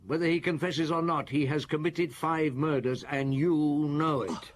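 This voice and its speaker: An actor playing a weary, relentless middle-aged chief inspector in a radio detective drama, speaking formally and deliberately. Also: male voice, fairly high pitch, fairly even intonation, natural voice. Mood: stern.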